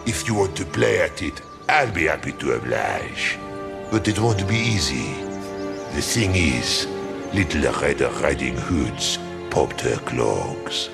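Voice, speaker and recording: A man voicing a hungover, cranky fairy-tale wolf in a video game, grumbling theatrically in a gravelly voice. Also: a bass voice, big pitch swings, slow pace, loud music behind the voice, exaggerated voice. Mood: bitter, resigned. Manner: grumbling, theatrical, swoops between mutter and shout.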